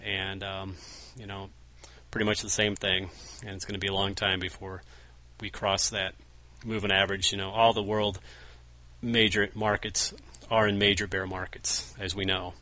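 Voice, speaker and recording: A man's voice: sits low, little pitch movement, moderate pace, pushed and bright. A man casually walking through big-picture market charts, often restarting his phrases. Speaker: newsletter market analyst co-host. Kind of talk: market commentary over charts.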